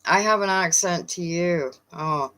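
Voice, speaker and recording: A woman with a Newfoundland accent chatting casually with viewers on a live stream, often pausing with fillers. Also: fairly low pitch, sharp tone, poor audio. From Newfoundland, Canada. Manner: chatty, hesitant, many fillers.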